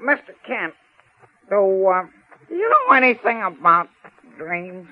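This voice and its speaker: A man's voice: high voice, huge pitch swings, measured pace, heavily acted. A man playing a naive, earnest young newspaper office boy in an old radio drama, with a hesitant, sheepish, folksy way of talking. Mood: hesitant, uneasy. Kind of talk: 1940s-50s radio drama acting. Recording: dull vintage radio recording.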